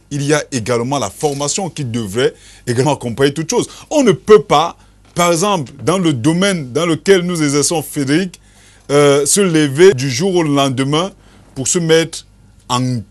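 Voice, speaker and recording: A man, a journalist arguing on a TV panel, hesitating with many fillers. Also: fairly high pitch. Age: 40s. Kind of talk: televised panel debate.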